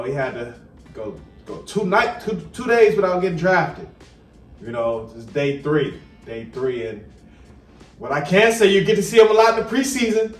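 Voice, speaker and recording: Slangy male sports vlogger in a roomy space. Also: a little high. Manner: slangy, repeats phrases for emphasis. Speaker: sports commentary video host. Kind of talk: casual sports commentary to viewers. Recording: roomy, distant mic, music behind.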